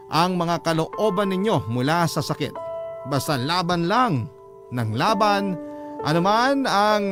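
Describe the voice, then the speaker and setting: A man with a Filipino accent reading a listener's letter as an earnest, measured radio storyteller. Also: on the high side. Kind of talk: dramatic scripted narration. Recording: prominent music bed under the voice. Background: music.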